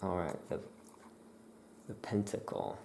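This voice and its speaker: A soft-spoken ASMR roleplayer talking gently and in a hushed, unhurried way as a witch shopkeeper. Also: slight background noise.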